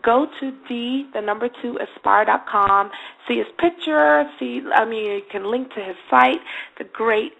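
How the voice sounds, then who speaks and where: A woman's voice: fairly high pitch, a little slow, gravelly, fairly bright tone, poor audio. A woman hosting a radio show, chatting casually with effusive enthusiasm. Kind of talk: casual interview chat.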